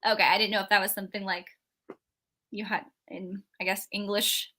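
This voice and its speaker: A woman's voice: medium pitch, flat delivery, fairly quick, bright, forceful tone. A woman co-hosting a live book discussion, talking casually and rambling with many fillers and restarts. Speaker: book club co-host.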